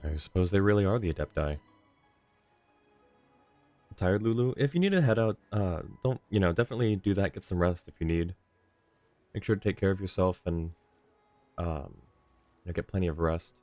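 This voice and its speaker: A man livestreaming a game with casual, conversational commentary into a close mic. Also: low-pitched. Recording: dry close mic, game music behind.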